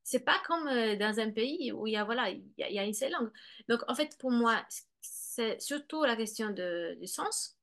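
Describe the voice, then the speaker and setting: A woman's voice: on the high side, expressive, moderate pace, a bit bright. A woman explaining bilingual children in a conversation, speaking hesitantly with many fillers and restarts, and acting out what children and parents say. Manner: acts out children's and parents' words. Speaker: guest expert on bilingual children.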